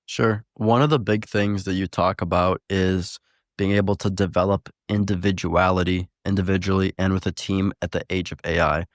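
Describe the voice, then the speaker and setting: A man hosts an interview and poses his questions conversationally. His voice is low and barely moves in pitch. It is recorded close and dry. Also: flat delivery, normal speed, no room sound. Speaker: podcast host, interviewer.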